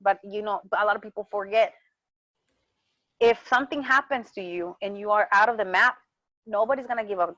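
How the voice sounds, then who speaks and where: A woman's voice: medium pitch, a little fast, pauses often, a bit bright, no echo. A woman from Mexico with a Mexican accent, talking passionately in a casual interview and often repeating words as she searches for them. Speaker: wrestling champion guest. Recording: dull muffled dry sound.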